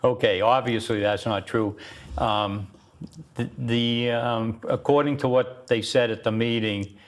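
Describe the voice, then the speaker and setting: A man's voice: medium pitch, fairly even intonation, measured pace, slight background noise. A man hosting a local community TV talk show, plainspoken and hesitant, with frequent ums. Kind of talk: casual political talk show.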